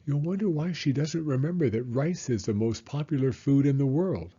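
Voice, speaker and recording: A man reading a children's picture book aloud as a story time host, with expressive, unhurried narration. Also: medium pitch, slightly rough, dull audio.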